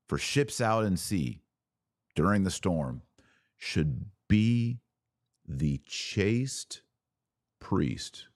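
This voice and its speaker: A man hosting a religious podcast, preaching in an earnest, emphatic way with deliberate, broken-up phrasing. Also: low-pitched, dry recording, studio quality. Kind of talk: preachy video commentary.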